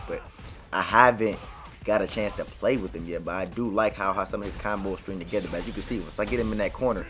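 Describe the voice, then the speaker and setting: Male gaming creator: casual running commentary, informal slang, slightly low pitch, light music underneath. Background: game music.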